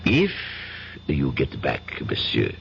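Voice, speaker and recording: A man's voice: extremely low pitch, wildly swooping pitch, slow, fairly bright tone, exaggerated voice. A man playing a suave, menacing foreign crime boss in a radio drama, with a mocking foreign-sounding accent. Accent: French-sounding foreign. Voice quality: gravelly, smooth and mocking. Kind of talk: 1970s radio drama acting. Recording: dull vintage radio broadcast, faint hiss.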